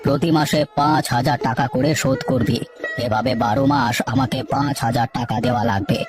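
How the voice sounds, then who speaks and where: A woman's voice: a bass voice, a little fast. A woman voicing a greedy, scheming older landlord in a cartoon, who talks down to the people he lends to. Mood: smug. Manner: smug, condescending, addresses the borrower as an inferior. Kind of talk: cartoon voice acting. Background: background music.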